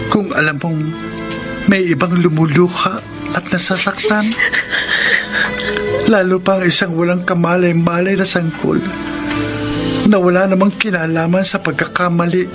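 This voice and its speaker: Casting: selfless Filipino friend, highish voice, earnest radio drama delivery, music underneath. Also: male voice. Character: earnest, selfless male friend counselling a woman.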